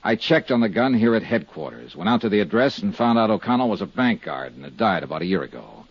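A low, husky radio private eye. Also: male voice, sing-song, quick pace, no echo, heavily acted. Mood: matter-of-fact. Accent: American. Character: world-weary American insurance investigator, male, conscientious and candid. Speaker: lead actor and narrator of a detective serial. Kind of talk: 1950s radio detective drama acting. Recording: muffled, dry 1950s radio recording.

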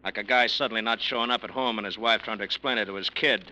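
An actor playing a tough, wry American plainclothes detective who talks in pointed slang in an old radio crime drama. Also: male voice, fairly low pitch, steady tone, fast talker, pushed and bright. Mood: needling, warning. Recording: faintly noisy old radio recording.

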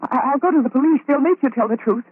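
A woman's voice: sits high, quick pace, no echo, natural voice. Tinny vintage radio, actress as anxious young woman. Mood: accusing, urgent. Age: young adult. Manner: urgent, insistent, pleading, repeating demands. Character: anxious, determined young woman seeking her missing sister. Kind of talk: old-time radio horror acting. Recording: tinny band-limited vintage radio recording.

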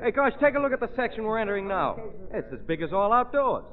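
Gushing college kid, boyish, high and lively, old tinny radio play. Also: male voice, fast talker. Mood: excited. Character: enthusiastic, bold college boy on a tour. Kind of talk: old radio drama acting.

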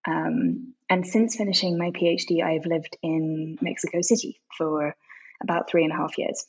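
A woman's voice: sits low, fairly even intonation, normal speed, a little mellow, husky, dull audio. A woman in her thirties, an author and translator, answering interview questions thoughtfully with frequent ums and restarts. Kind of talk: podcast interview.

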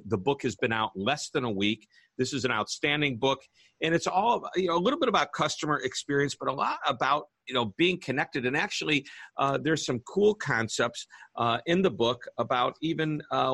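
A man's voice: mid-range voice, pushed and bright, no echo. Upbeat enthusiastic male radio host. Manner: upbeat, enthusiastic, chatty with fillers. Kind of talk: casual interview hosting.

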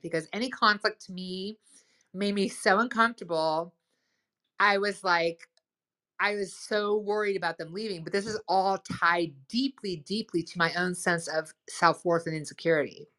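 A woman hosting a casual advice chat. She talks loudly and with enthusiasm, and fills her speech with "like" and "right?". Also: mid-range voice. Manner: loud, boisterous, enthusiastic; lots of "like" and "right?". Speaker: host.

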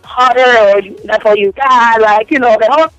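A woman's voice: on the high side, moderate pace, fairly bright tone, soft background music. A young woman from Cuba with a Spanish accent, talking frankly and giggling as a phone-in guest on a casual radio interview. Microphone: telephone. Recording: dull lo-fi phone line.

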